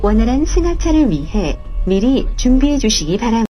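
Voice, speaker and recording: A woman reading scripted station announcements as a train announcer, formal, measured and carefully enunciated. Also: on the high side, big pitch swings, lo-fi sound. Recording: uneven cheap-mic capture, music behind. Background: faint music, train ride.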